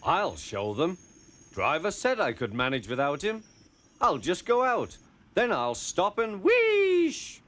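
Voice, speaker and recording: A man's voice: sits high, slow pace, voice pushed up, heavily acted. A man doing a cheeky little engine. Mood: mischievous. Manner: excitable, mischievous. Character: cheeky, boastful young male tank engine. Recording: music playing behind.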